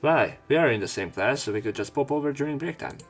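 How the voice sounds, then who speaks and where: A man's voice: mid-range voice, animated, fast talker, faint music behind. A man narrating a visual novel let's play, reading the story aloud with casual commentary.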